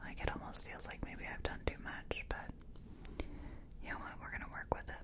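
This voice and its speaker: A woman speaking softly, mostly in whispers, in an ASMR makeup-artist roleplay, murmuring step by step as if to a client. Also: a little fast. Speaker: ASMR creator role-playing a makeup artist. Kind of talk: soft-spoken ASMR personal attention roleplay.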